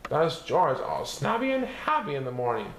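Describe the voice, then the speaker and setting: A man reading a picture book aloud as a storyteller, expressive and sing-song, playing with repeated words. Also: on the high side, normal speed. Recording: roomy echo with faint background noise.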